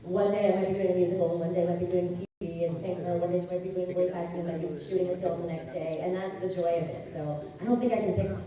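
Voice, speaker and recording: A woman voice actor answering fan questions on a convention panel, chatty and quick to laugh, with many restarts. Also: on the low side, little pitch movement. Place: large convention hall. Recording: echoey hall from far off with crowd.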